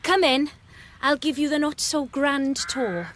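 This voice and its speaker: An actress playing a warm, earnest young pregnant doctor's wife in a naturalistic radio drama, with a breathy voice. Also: female voice, sits high, unhurried, a little hiss or hum. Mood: bright, welcoming. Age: young adult.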